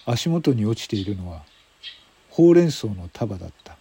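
男性がラジオドラマの台本を、間を取りながら語るナレーション。. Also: male voice, on the low side, big pitch swings, moderate pace, dark tone, slightly rough. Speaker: narrator. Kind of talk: scripted radio drama narration.

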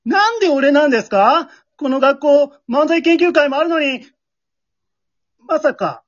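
An amateur radio-drama performer playing a bewildered, blunt male high school student who gives incredulous, deadpan retorts as the straight man. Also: a little slow, muffled recording. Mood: exasperated.

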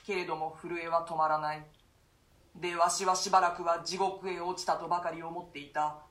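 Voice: mid-range voice, level tone, fairly quick, a bit bright, reverberant, close to an everyday voice. A voice actor playing an imprisoned duke who recounts a nightmare in archaic, solemn speech. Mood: fearful. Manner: archaic, solemn storytelling with fearful gasps. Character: imprisoned duke haunted by a nightmare, male, grave. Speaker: voice actor in a radio reading. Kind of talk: classic stage play read as radio drama.

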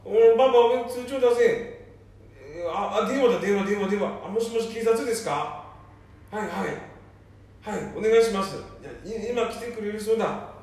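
High-voiced concerned son acted by a man, very echoey. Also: normal speed, darkish tone. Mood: alarmed. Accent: Nambu dialect of northern Japan. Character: middle-aged son, concerned and excitable. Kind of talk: amateur radio drama acting. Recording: very echoey room, distant from mic.

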